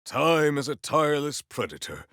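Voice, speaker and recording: A male actor voicing a proud, potent warrior in a video game, with formal, grandiose, deadpan delivery. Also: fairly high pitch, moderate pace, no room sound, clean studio sound. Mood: stoic, formal. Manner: formal, stilted, grandiose diction. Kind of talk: scripted video game character lines.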